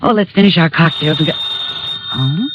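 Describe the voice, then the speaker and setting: A woman's voice: low voice, lively intonation, a little slow. An actress playing a playful, curious woman on a cocktail date, chatty and teasing, in a 1950s radio drama. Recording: muffled, slightly noisy old radio recording.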